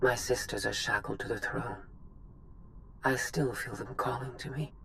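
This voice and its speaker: A man playing a cold, superior rogue droid in a video game, speaking in formal, measured phrases. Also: mid-range voice, fairly even intonation, vocal fry, sharp tone, slight background noise, rough recording. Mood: cold, resolute. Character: cold, self-freed rogue droid hungry for freedom. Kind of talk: dramatic video game cutscene acting.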